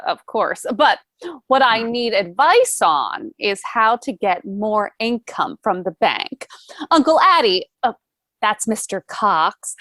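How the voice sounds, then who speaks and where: A woman's voice: medium pitch, a bit bright, no room sound. A woman playing a polite, genteel heiress who asks questions and wants more money, in a table read of a stage musical.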